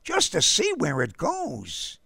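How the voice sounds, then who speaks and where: Curious, unworried storybook boy, voiced by a male narrator, high-pitched and matter-of-fact. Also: wildly swooping pitch, slow pace, gravelly, pushed and bright, slightly rough, dry recording, full character voice.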